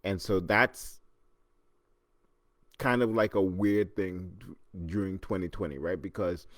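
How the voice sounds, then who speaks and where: Middle-aged guy from trinidad chatting on his home stream, lowish voice, dry close sound, rambles and restarts a lot. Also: measured pace, fairly bright tone, no echo. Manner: rambling, chatty, many restarts and fillers, reads comments aloud. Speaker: host. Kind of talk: casual livestream chat. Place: home in New York City.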